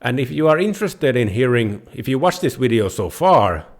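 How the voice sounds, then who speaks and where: A man with a Finnish accent hosting his own channel, explaining money basics to viewers in a halting way, pausing and restarting his sentences. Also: mid-range voice, sing-song. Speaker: host of a personal finance channel. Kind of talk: unscripted explainer talking to viewers.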